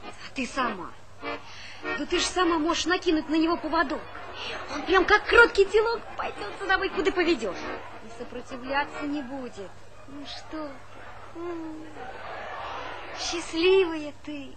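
An actress playing a gossipy, excitable village woman who gushes and exclaims in a folksy way, in a stage comedy. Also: sits high, unhurried, long pauses, a crowd in the background, low-quality recording. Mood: teasing. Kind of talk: Soviet stage comedy acting.

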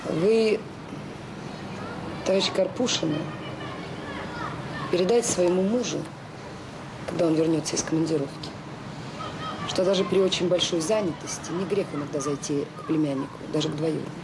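An actress playing a dutiful orphanage director in a radio drama, polite but pointed, firm and dryly ironic. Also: female voice, sits low, moderate pace, long pauses, noisy background. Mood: firm, cutting. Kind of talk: naturalistic Soviet radio drama acting.

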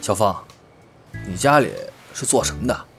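A man's voice: fairly low pitch, animated, normal speed, a bit bright, faint music behind. A man playing a friendly, considerate young member of a pop group in an audio drama. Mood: gentle, caring.

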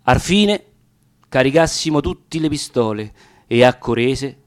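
A man with a Roman accent reciting narrative dialect verse theatrically, with deliberate, expressive pauses. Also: mid-range voice. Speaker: actor reading poetry.

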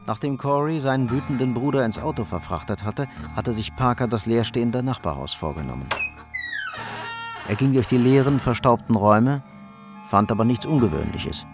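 A man reads scripted narration for a crime radio play. His voice sits at a medium pitch, with guitar music faintly behind it. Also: animated, normal speed.

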